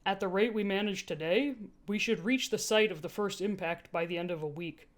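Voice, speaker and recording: A woman voicing a reserved security android in an audiobook, speaking in a dry, flat, understated manner. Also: mid-range voice, fairly quick, lightly acted. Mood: reserved, hesitant.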